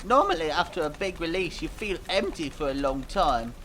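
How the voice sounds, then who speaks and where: Man doing dim, high-pitched cartoon singer. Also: very animated, normal speed, pushed and bright, slight background noise, low-quality recording. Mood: earnest, vague. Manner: vague, rambling, naive, earnest. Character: dim, earnest, rambling male cartoon pop singer. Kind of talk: mock interview fan dub.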